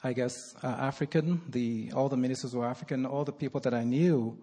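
A man's voice: mid-range voice, steady tone, brisk, warm tone, husky. A man from Nigeria, raised partly in England, introducing himself on a church panel in a courteous, formal way.

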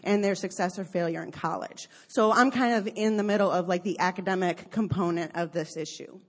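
A woman's voice: lowish voice, brisk. A woman researcher describing her academic work on a church panel, with fillers like "um" and "like.". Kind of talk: panel introduction.

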